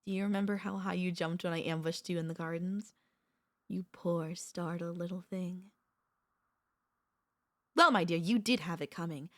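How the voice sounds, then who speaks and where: A woman voicing a centuries-old vampire mistress, a tender, possessive girlfriend, speaking softly and intimately with courtly wording and hesitant pauses in an ASMR roleplay. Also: on the low side, dry recording. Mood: teasing, fond. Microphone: close studio microphone, dry.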